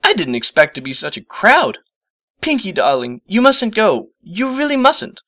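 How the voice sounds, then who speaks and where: A man's voice: high-pitched, huge pitch swings, moderate pace, sharp tone, no echo, clean studio sound, voice pushed up, full character voice. A man voicing a refined, generous lady cartoon pony, genteel and dramatic, in a storybook reading. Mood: dramatic concern.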